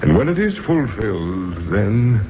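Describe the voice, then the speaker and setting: A man's voice: fairly low pitch, sing-song, slight background noise. An actor playing a centuries-old, melancholy ghost of a nobleman in a 1970s radio drama, speaking in a grandiloquent, theatrical way. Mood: weary, yearning. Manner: grandiloquent, slow theatrical delivery. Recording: muffled, phone-like vintage radio broadcast with music.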